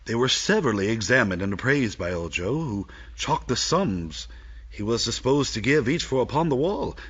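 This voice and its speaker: An actor as the grave narrator of a Victorian tale, giving scripted dramatic narration in a husky voice, in slightly dull audio. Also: male voice, mid-range voice, animated, moderate pace, a bit bright.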